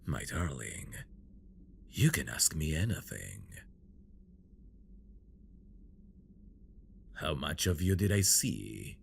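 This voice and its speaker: A man playing a possessive, teasing fantasy villain devoted to his bride in an intimate ASMR audio drama, with a gravelly murmur that often drops into breathy whispers. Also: extremely low pitch, slight background noise, full character voice. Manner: slow, teasing, seductive delivery.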